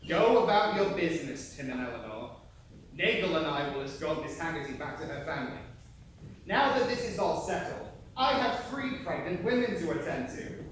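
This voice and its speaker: An actor playing an authoritative officer on an emigrant ship in a stage play, formal and commanding. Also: male voice, a little high, sing-song, normal speed, fairly bright tone. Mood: formal, firm. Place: theatre stage, picked up from afar. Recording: echoey hall, distant mic, faint noise.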